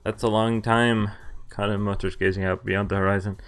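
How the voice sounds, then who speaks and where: A man's voice: on the low side, flat delivery, fairly quick, fairly bright tone. A man streaming a visual novel let's play, reading the text aloud and adding casual, offhand commentary of his own. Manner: mixes read-aloud narration with offhand reactions and asides. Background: game music.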